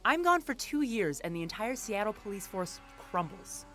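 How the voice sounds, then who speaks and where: A woman's voice: medium pitch, big pitch swings, normal speed, bright, forceful tone, soft background music. A woman acting a skeptical, sarcastic police investigator with a wry, questioning manner in an audio drama. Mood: sarcastic, puzzled.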